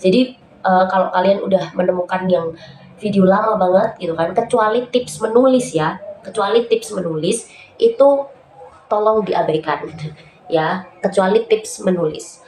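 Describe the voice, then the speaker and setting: A woman's voice: medium pitch, normal speed, pauses often, darkish tone. A woman hosting a casual tutorial vlog, nasal and stuffed up from a cold, chatting with lots of fillers and calling her viewers guys. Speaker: YouTube host giving writing tips. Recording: roomy echo, faint background noise.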